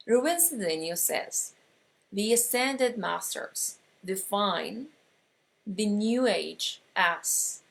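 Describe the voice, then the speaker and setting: A woman from Argentina with an Argentine Spanish accent and Spanish as her first language, teaching an online course with slow explanations, frequent pauses and restarts. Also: mid-range voice, gravelly, fairly bright tone. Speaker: metaphysics teacher.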